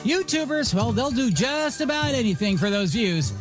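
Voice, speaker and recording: Playful, animated radio DJ over music. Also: medium pitch, moderate pace. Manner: playful, joking, showy delivery. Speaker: radio DJ host. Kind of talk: energetic music radio DJ patter. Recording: music bed behind voice.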